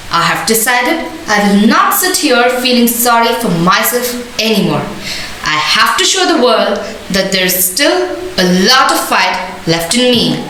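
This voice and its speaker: A woman narrating a dramatic first-person story from a script, with deliberate pauses. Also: a little high, slight background noise, rough recording. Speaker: narrator of a shadow puppet show. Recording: loud music bed under the voice. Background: new-age background music.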